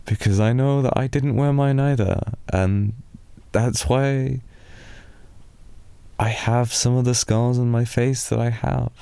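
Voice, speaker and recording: A British man softly rambling in an improvised ASMR boyfriend roleplay, telling a drowsy, meandering story to lull a listener to sleep. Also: medium pitch, steady tone, vocal fry, clear, resonant tone, dry recording. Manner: soft, slow, drowsy storytelling.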